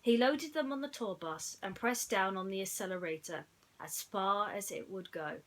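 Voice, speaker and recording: A woman's voice: medium pitch, moderate pace, a bit bright. A woman reading stories to children in a playful, theatrical way, with chatty asides. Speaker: children's bedtime story reader. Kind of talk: animated storytelling for children.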